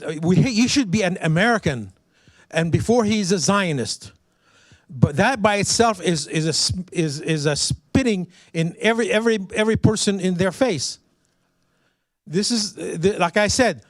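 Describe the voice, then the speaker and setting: An older man with an Iraqi accent speaks up at a public meeting in a fairly high, closely recorded voice. His delivery is halting and indignant, with words often repeated as he goes. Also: dry recording, clean studio sound. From Iraq. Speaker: resident commenting at a council meeting. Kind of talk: impromptu public comment speech.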